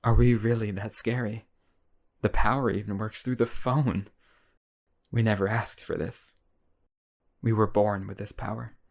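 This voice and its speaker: Casting: male actor as devoted yandere vampire, low register, earnest coaxing delivery, intimate roleplay audio. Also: fairly even intonation, unhurried, vocal fry, long pauses, darkish tone. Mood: wounded, sorrowful. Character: lonely, obsessive vampire brother, pleading devotion. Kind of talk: boyfriend ASMR roleplay audio drama.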